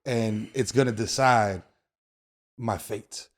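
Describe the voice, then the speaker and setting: A man's voice: fairly low pitch, fairly even intonation, slow pace. A man hosting a podcast, asking questions in loose, slangy banter with a habit of checking in with his guest. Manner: loose slangy banter, keeps saying "you know what I'm saying". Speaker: podcast host. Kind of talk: casual interview chat. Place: podcast studio.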